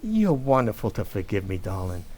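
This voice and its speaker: A man acting a hapless, soft-hearted working-class husband in a radio comedy, with an American accent and a hesitant, stammering, blustery delivery. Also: fairly low pitch, huge pitch swings, normal speed, slight background noise. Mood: sheepish, pleading.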